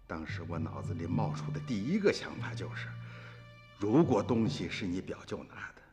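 A man's voice: low voice, very animated, moderate pace, darkish tone, big-room sound. A man playing an earnest, suspicious village uncle in a radio drama, insistent and sighing. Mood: grave recollection. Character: earnest, suspicious rural uncle telling the past to a grandchild. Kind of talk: Chinese radio drama acting and narration. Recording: music behind the voice.

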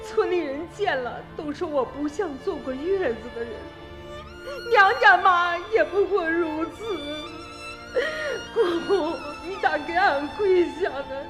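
An actress playing a warm-hearted, dutiful young village wife in a radio drama, earnest and full of feeling. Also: female voice, extremely high pitch, animated, slow, over music, exaggerated voice. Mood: moved, pleading. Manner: earnest, emotional. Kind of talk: Chinese radio drama acting.

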